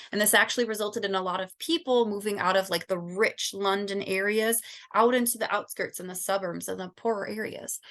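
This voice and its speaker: A young woman from Southern California talks through a class project in an easy, informal way. She leans on plenty of ums and likes as she goes. Her pitch sits in a middling, ordinary range for a woman. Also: fairly bright tone. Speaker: student presenter. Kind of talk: class presentation.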